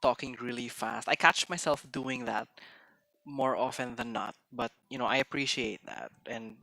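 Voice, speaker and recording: Bright streamer man. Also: mid-range voice, vocal fry, dry recording. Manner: chatty, hesitant restarts, mixes in Tagalog phrases; tends to talk fast. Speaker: game streamer. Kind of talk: casual live-stream chat.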